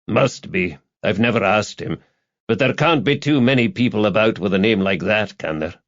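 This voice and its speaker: Guarded male insider, acted by a man reading: measured, matter-of-fact, lowish pitch, audiobook drama. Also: lively intonation, no room sound, lo-fi sound. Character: guarded, reluctant male confidant of a reporter.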